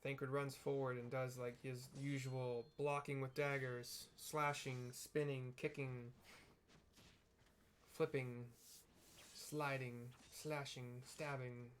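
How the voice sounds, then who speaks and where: A man's voice: medium pitch, little pitch movement, takes it slowly, slight background noise. A young man casually narrating a game cutscene in a rambling way, full of "like" and "kinda.". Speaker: let's play narrator.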